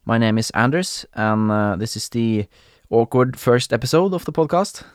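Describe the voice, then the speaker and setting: A young man from Norway hosting a podcast in English with a Norwegian accent, talking casually with frequent pauses and fillers. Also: medium pitch, lively intonation, clear, resonant tone, no echo, clean studio sound. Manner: hesitant, many fillers and restarts, laughs often. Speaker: podcast host. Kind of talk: casual solo podcast talk.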